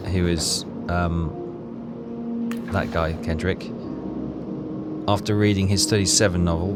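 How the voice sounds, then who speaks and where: A man thinking aloud as he plays a puzzle game, talking in a halting, self-questioning way. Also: sits low. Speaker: let's player. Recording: loud game music behind voice. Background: game music.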